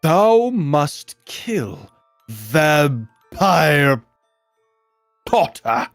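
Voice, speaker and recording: A woman voicing a menacing male dark wizard villain in a comic fanfiction reading, bellowing archaic commands in a drawn-out theatrical way. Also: deep voice, very animated, faint music behind, pitched below the performer's own voice, full character voice. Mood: ominous.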